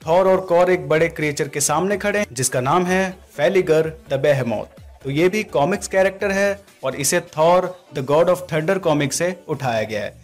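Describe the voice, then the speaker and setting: Guy doing informal film commentary, highish voice, music playing under him. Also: normal speed. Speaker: video host, trailer breakdown narrator. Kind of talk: explanatory movie commentary. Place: voice-over recording.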